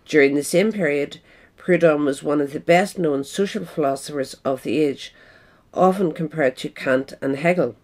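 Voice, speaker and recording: A woman's voice: sits low, normal speed, darkish tone. Woman reading a scripted essay. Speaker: reader.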